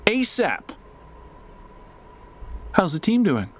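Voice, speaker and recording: An actor playing a stern, suspicious boss in an audio drama, curt and demanding, with a husky voice. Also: male voice, sits high, huge pitch swings, extremely slow, slight background noise, exaggerated voice. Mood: stern, impatient. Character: stern, suspicious male boss pressuring an underling.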